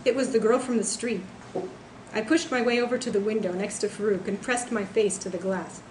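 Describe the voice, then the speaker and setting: A woman's voice: mid-range voice, steady tone, brisk, a little mellow. A woman author reading aloud from her own novel in a literary style. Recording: echoey room, faint background noise.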